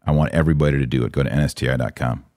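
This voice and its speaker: A man's voice: rumbling low, steady tone, rapid-fire, a little mellow, no room sound, clean studio sound. An American man hosting a live podcast, commenting and answering viewers in an emphatic way, repeating phrases for stress. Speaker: podcast host.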